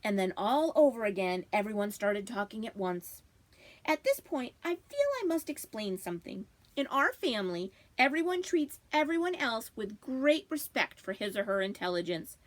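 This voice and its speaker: A woman reading a story aloud in an expressive, animated storybook style. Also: fairly high pitch, normal speed. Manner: animated, acting out dialogue. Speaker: librarian reading aloud to children.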